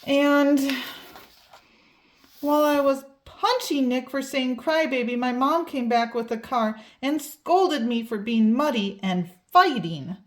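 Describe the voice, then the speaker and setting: A woman's voice: sits high, takes it slowly. A woman reading a children's picture book aloud expressively, drawing out key words for emphasis. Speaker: storybook reader.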